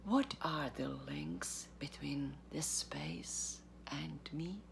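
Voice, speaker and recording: A woman giving a literary reading, with deliberate, measured phrasing, long pauses and a breathy, gravelly voice. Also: sits low, a little hiss or hum. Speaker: artistic director of a theatre, reading aloud.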